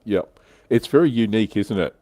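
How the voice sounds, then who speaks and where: A man's voice: on the low side, expressive, a little fast, mellow, no room sound. A man, hosting a casual panel chat. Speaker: host.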